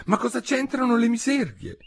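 A man's voice: very high-pitched, expressive, normal speed, gravelly, husky, pitched above the performer's own voice, full character voice. A man voicing a curious, naive little girl from a children's storybook, prim and earnest.

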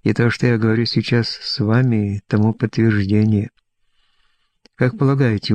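A man's voice: on the low side, a little mellow, no echo, lightly acted. A man voicing an aging stage performer in an audiobook, boastful and lonely, rambling on insistently in short, self-assured phrases. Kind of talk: audiobook character dialogue.

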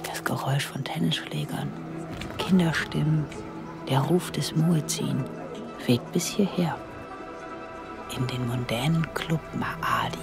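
An actress playing a candid, curious seventeen-year-old German secretary in a radio drama. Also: female voice, deep voice, takes it slowly, creaky, pauses often, in a whisper, over loud music, exaggerated voice. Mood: dreamy. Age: young adult. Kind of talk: radio drama dialogue and inner narration.

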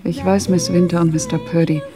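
Low-voiced, composed woman over guitar music, acted. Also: level tone, a little fast, lightly acted. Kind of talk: German radio drama acting. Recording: plucked guitar music under voice.

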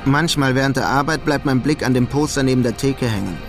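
An actor playing a melancholy young German emigrant painter in New York, understated and wry, in a radio drama. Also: male voice, medium pitch, brisk, over music, natural voice. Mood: brooding. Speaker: protagonist and narrator of a radio crime drama. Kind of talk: German radio drama, introspective narration and dialogue.

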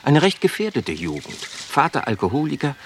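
Radio crime drama: pompous middle-aged bureaucrat father, mid-pitched, very varied intonation, fussy and self-justifying, noisy recording. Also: huge pitch swings, normal speed. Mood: defensive. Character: stuffy, status-proud middle-aged civil servant father. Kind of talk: German radio crime play dialogue.

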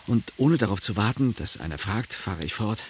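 Radio play narrator, male actor: middle-aged secular husband, wry and affectionate, expressive pitch, clear background noise. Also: normal speed, lightly acted. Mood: playful. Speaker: first-person narrator.

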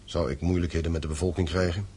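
A man's voice: sits low, steady tone, quick pace, mellow, slightly rough. An actor in a radio play as a stern, proud father and landowner, speaking with authority. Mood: stern, irritated. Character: stern, proud father and mine-owning gentleman.

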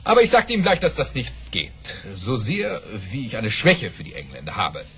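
Boastful baron, wildly animated delivery. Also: male voice, medium pitch, moderate pace, slightly rough, exaggerated voice. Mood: dramatic, self-important. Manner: grandiose, dramatic, boastful. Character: boastful baron telling tall tales of his exploits. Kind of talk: theatrical audio play storytelling.

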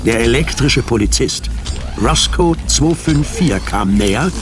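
An actor as the narrator of a science fiction radio drama, reading dramatic scripted narration. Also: male voice, medium pitch, animated, unhurried, natural voice. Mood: suspenseful. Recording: music under the voice.

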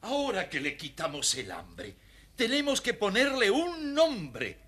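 An actor playing a blustering but soft-hearted village miller in a fairy tale, with a husky, forceful voice. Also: male voice, high voice, wildly swooping pitch, measured pace, full character voice. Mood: delighted, fond.

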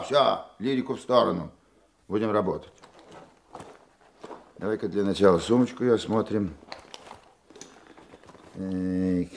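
An actor playing a seasoned older police chief in a Russian radio drama, a fatherly mentor who speaks in a measured, earnest, reassuring way. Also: fairly low pitch, slight background noise. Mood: businesslike.

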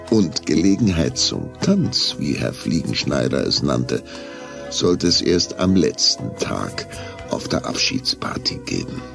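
A man narrating a children's radio play in an expressive storytelling manner. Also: low voice, vocal fry. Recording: music underneath.

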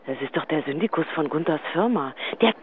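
A woman's voice: low-pitched, animated, brisk, slightly rough. An actress playing a chatty, gossipy woman friend leaving an answering machine message in a German radio drama. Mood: urgent. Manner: gushing, theatrical, hesitant asides. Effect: answering machine phone message. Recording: thin phone line.

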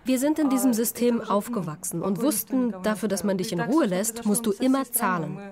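A woman's voice: a little high, animated, normal speed, a crowd in the background. A woman voicing an interviewee's words in an earnest, resolute voice-over. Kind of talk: read voice-over testimony.

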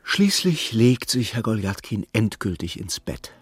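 A man narrating a literary radio drama as a measured, detached storyteller with dry irony. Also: fairly low pitch, big pitch swings, husky, heavily acted. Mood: quietly wry.

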